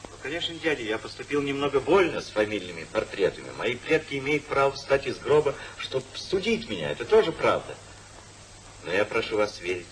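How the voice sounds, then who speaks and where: An actor playing a frank, impulsive young rake in a 1950s radio comedy, earnest and theatrically expressive. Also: male voice, medium pitch, fairly quick. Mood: contrite. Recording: faintly noisy 1950s radio recording.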